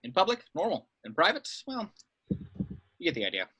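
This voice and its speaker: A man reading the part of a brisk, dry-witted veteran secret agent in an amateur film script table read. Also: a little high, wildly swooping pitch, bright, forceful tone, husky, full character voice, poor audio. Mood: dry, matter-of-fact.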